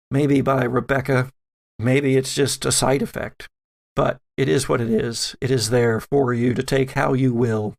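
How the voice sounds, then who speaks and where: Man, game master, slow dramatic narration, mid-pitched voice with creaky fry, long pauses.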